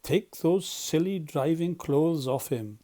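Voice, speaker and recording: A man's voice: on the high side, slow, dark tone, voice pushed up. Man voicing a stern, commanding storybook badger. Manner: emphatic, grave, scolding. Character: stern, solemn male badger, commanding elder friend.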